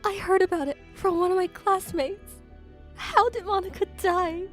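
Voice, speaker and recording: A woman plays a plaintive young schoolgirl in an audio drama. Her voice is extremely high, grieving and insistent. Faint music runs underneath. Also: fairly even intonation, normal speed. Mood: upset, pleading. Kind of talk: audio crime drama acting.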